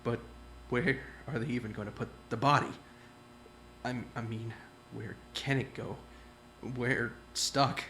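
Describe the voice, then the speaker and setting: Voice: medium pitch, fairly even intonation, measured pace, fairly bright tone, a little hiss or hum. An actor voicing a stammering, nervous young man. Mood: anxious. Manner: stammering and anxious.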